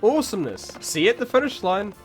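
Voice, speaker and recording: A man's voice: high voice, big pitch swings, fast, faint music behind. A man voicing a brash, boastful female pony athlete in a cartoon parody, bragging and hot-headed. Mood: cocky.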